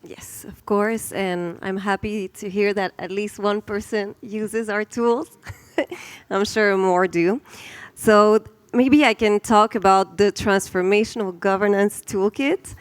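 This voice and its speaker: A woman panelist answering in a friendly, measured way at a conference. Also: mid-range voice, clean tone, no room sound.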